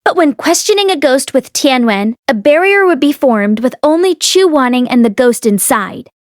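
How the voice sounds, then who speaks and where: A woman's voice: sits high, expressive, normal speed, no echo. A woman narrator reading fiction aloud expressively as an audiobook host, close to the microphone.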